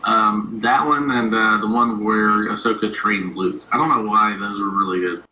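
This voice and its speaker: Lower-voiced man in an echoey room. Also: steady tone, moderate pace, pushed and bright, a little hiss or hum. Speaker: interviewer. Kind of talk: casual interview chat.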